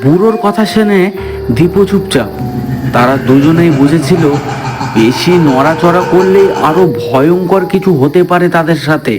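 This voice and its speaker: A man's voice: on the high side, lively intonation, unhurried, loud music behind the voice. A man narrating a scripted horror story in a dramatic, suspenseful manner.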